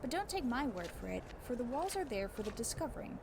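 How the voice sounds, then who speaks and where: A woman reading a book aloud as scripted narration, in a measured, scholarly way with deliberate emphasis. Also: fairly high pitch, big pitch swings, gravelly, background noise. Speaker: book narrator giving a voice demo.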